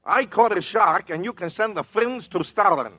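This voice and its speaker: A man's voice: high voice, expressive, moderate pace, sharp tone, exaggerated voice. A man playing a chatty, wisecracking waterways commissioner in a 1940s radio comedy. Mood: breezy, joking.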